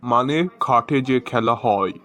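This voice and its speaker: A man's voice: mid-range voice, slow pace, fairly bright tone. A man voicing an exasperated, easily irritated character in cartoon comedy skits, with a grumbling, scolding manner.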